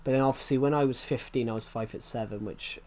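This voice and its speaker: A young man in his twenties talks through a livestream in a loose, rambling way. His voice sits at an ordinary middle pitch, and he circles back to restate each phrase before pushing on. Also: fairly even intonation, fast. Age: 20s. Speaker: streamer. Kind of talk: rambling casual livestream chat.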